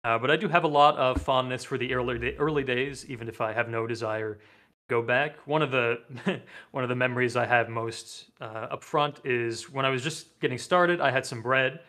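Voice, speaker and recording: A young man whose first language is French, talking as a podcast guest at an easy, conversational pace. He is relaxed and thoughtful, often laughing at his own stories, and comes through a desk mic over a video call. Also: medium pitch, normal speed. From France. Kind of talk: casual chat. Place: home room, seated at desk. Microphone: desk mic with headset, video call.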